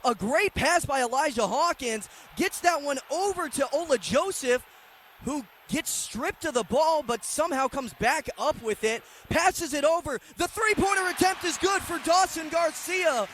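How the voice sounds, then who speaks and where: A man with a very high voice calls a basketball game live for radio. He tracks each move in clipped phrases, with faint arena noise behind him. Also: animated, normal speed, a bit bright. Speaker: play-by-play radio commentator. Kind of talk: live basketball play-by-play commentary.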